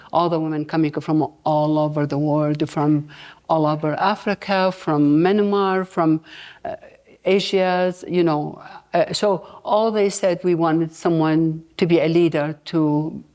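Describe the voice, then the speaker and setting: A mature woman with an Iraqi Arabic accent shares her own story as an interview guest. Her voice is low-pitched with little rise and fall, and her phrasing is halting and non-native. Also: darkish tone. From Baghdad, Iraq. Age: middle-aged or older. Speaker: interview guest, nonprofit founder. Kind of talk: personal story told at a live event.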